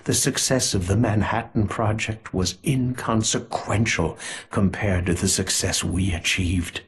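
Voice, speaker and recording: An actor playing a sinister, coldly amused conspiracy leader in a video game, narrating in a measured, theatrical way and savoring his words. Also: lowish voice, fairly even intonation. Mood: smug reminiscing. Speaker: villain narrator.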